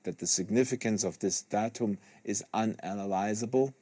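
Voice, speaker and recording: A man's voice: on the low side, normal speed, mellow, no room sound. Man reading literary prose, very dry sound. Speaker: host reading a novel aloud. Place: small studio with acoustic foam panels. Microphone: close studio microphone.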